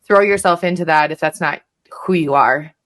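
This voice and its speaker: A woman's voice: sits low, moderate pace, fairly bright tone. A woman with an American accent, a podcast guest giving casual advice in an informal, hedging way, sprinkled with "like" and "you know".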